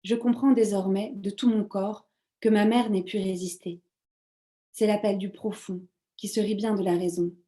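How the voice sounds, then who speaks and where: A woman whose first language is French, reading her poetry slowly over a video call. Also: medium pitch, steady tone, mellow. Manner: slow, measured recitation. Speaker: poet.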